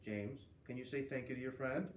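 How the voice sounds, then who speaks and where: A man reading the part of a calm, kind woman teacher in a soft, patient, soothing way. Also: mid-range voice, a little fast, close to an everyday voice. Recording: roomy, distant mic with faint noise.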